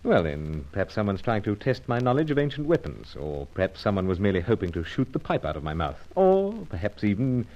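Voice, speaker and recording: Sardonic spy from an old radio serial, lowish voice, clipped and dry, really varied intonation. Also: male voice, very animated, a little fast, hardly any pauses, a little mellow, lo-fi sound. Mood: probing, suave. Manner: clipped, dryly nonchalant hardboiled delivery. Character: suave, sardonic male British secret agent narrating his case.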